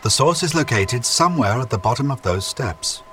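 An actor playing a polite, emotionless android envoy in an audio drama, speaking in a formal, measured, impassive way. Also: male voice, medium pitch, animated, over music, close to an everyday voice. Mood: calm, dutiful.

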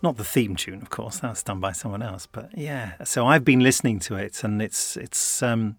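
A man's voice: fairly low pitch, moderate pace, slightly rough, no room sound. A man hosting a podcast in a recording studio, chatting casually and rambling with lots of restarts. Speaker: podcast host.

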